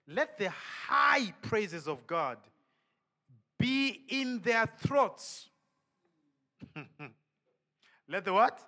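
A man preaching a sermon with lively energy, laughing and firing questions at the congregation. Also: a little high.

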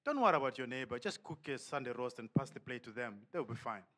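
A man preaching a sermon with lively energy, laughing and firing questions at the congregation. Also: mid-range voice, quick pace.

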